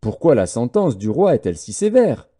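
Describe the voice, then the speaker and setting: Audiobook scripture: male narrator as prudent young believer, reverent, dark timbre, animated melody. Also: mid-range voice, normal speed, slightly rough. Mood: measured questioning. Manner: reverent, solemn. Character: devout, prudent young Hebrew man praising God. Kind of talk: dramatised scripture reading.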